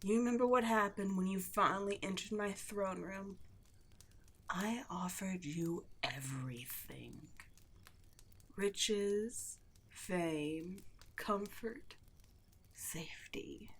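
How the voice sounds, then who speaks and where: A woman playing a seductive, condescending demon queen in a scripted ASMR roleplay, gloating at the listener and dropping at times into breathy whispers. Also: medium pitch, a little hiss or hum. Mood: smug, reminiscing, then whispered temptation. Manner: slow, taunting, condescending, drops into breathy whispers.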